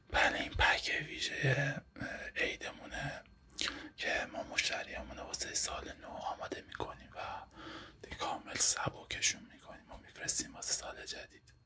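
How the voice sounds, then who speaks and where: A young man whispering softly and politely as a barber in a close-up roleplay, with a Persian accent. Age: young adult. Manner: soft polite whisper, addressing the listener as a client. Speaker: ASMR creator playing a barber. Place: dim small room indoors.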